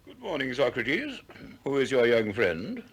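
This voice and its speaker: An actor playing a celebrated, self-assured Greek teacher, formal and courteous, in a 1960s radio play. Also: male voice, mid-range voice, huge pitch swings, a little slow, slightly rough, exaggerated voice. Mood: confident, courteous.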